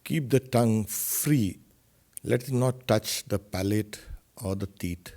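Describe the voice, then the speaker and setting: Male meditation guide, fairly low register, very unhurried delivery, short separated directives. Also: warm tone. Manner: short instructions in measured, separated phrases. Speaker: spiritual teacher, meditation guide.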